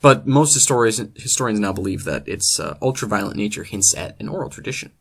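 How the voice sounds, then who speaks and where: A man presenting a comedic scripted literature lecture as host, in a deadpan, mock-scholarly manner. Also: fairly low pitch, quick pace, vocal fry.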